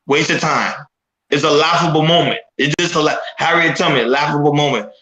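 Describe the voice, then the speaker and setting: A man of Haitian descent, emphatic and insistent, who circles back over his words to drive a point home. His voice is bright and forceful and sits a little high. The room around him rings with echo. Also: brisk. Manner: emphatic, repeats himself while building a point. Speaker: podcast panel host. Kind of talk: casual panel discussion.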